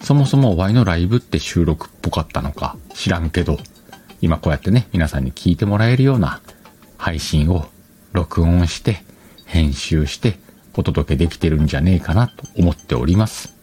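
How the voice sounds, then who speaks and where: A man's voice: sits low, lively intonation, normal speed, dark tone, a little hiss or hum. A man hosting a casual solo podcast, chatting colloquially, rambling and correcting himself, on a dedicated microphone. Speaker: podcast host.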